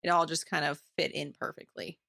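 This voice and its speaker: A woman's voice: deep voice, flat delivery, fairly quick, vocal fry, sharp tone, dry recording, studio quality. A woman co-hosting a podcast, talking in a casual, chatty book club style. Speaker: podcast co-host.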